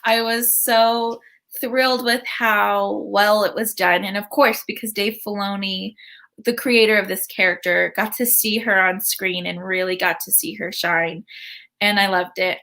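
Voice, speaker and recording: Chatty woman with a bright voice. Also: steady tone, unhurried. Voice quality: says her voice is high-pitched. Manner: chatty, with many ums and restarts. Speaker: host. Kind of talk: casual commentary.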